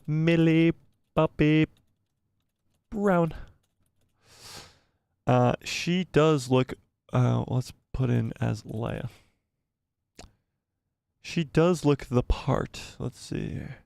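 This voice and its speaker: A man hosting a live stream, chatting casually and reading out viewer comments. His voice is going hoarse and he rambles and repeats himself as he thinks aloud. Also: on the high side, drawn-out words, pauses often, darkish tone, dry recording. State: losing his voice, coughing. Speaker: livestream host.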